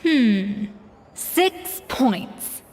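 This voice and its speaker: A woman voicing an exacting, sharp-tongued young head chef in a video game, haughty, crisp and blunt. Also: a little high, animated, extremely slow, long reverb tail, slight background noise. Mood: scathing.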